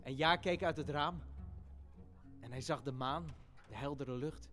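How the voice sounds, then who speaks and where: Eager little boy, high bright voice, children's radio play, theatrical storytelling, music in the background. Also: a little slow. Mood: soft, tender. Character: eager, tender little brother in a children's fairy tale.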